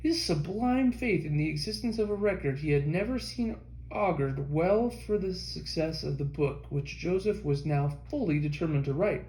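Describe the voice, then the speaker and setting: A man reading a history book aloud as an audiobook narrator, in a measured scripted delivery. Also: on the high side, lively intonation, a little mellow, roomy sound, poor audio. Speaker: audiobook reader.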